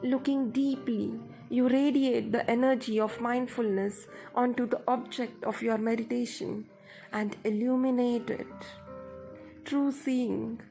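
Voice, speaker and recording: A woman guides a meditation in a fairly high voice. She speaks very slowly and in a measured, instructive way. Music plays softly behind her words. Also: fairly even intonation, vocal fry.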